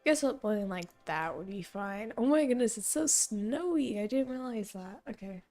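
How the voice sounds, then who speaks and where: Young woman streamer thinking aloud, slightly hoarse. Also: medium pitch, animated, moderate pace, a little mellow, soft background music. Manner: thinking aloud, rambling and self-correcting. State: voice fading, hoarse. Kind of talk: casual gameplay commentary.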